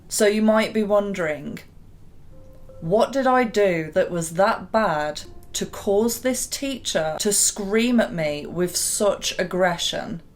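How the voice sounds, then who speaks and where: A young woman talks to her camera in a deep, low voice. She tells her story candidly and reflectively, with small laughs along the way. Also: slight background noise. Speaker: YouTuber.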